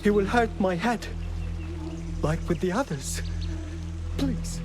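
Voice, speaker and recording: An actor playing a servile, frightened lackey who pleads and cringes in a video game cutscene. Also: male voice, sits high, lively intonation, slow, loud music behind the voice. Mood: fearful.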